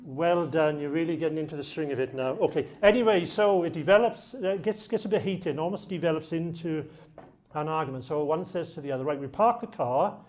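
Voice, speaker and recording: A man's voice: fairly high pitch, fairly quick, darkish tone. A man with a Welsh accent, originally from South Wales, giving a jokey, chatty public talk to a live audience in a lecture room. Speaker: archive lecturer.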